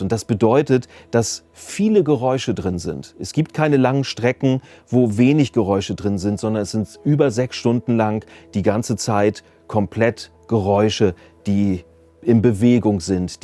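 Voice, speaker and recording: A man's voice: lowish voice, dark tone. A man, explaining his work as a sound designer in a casual interview. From Germany. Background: music.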